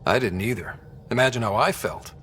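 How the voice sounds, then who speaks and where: A man's voice: medium pitch, a little fast, a bit bright, a little hiss or hum, lightly acted. A man playing a young, easygoing space explorer and team leader in video game dialogue, speaking casually. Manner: casual, conversational.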